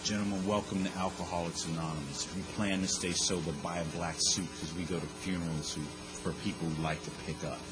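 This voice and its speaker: A blunt, gruff old-timer greets the newcomers in a low, dry, quieter voice, as a man quotes him while telling a story. Music sits clearly behind the voice. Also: fairly even intonation, quick pace, few pauses, close to an everyday voice, poor audio. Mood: deadpan.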